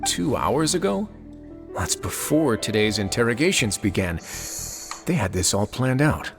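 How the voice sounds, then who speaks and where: A man's voice: mid-range voice, very animated, unhurried, over music. An actor playing a composed, shrewd man of authority in a video game, courteous in manner. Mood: shrewd, calm.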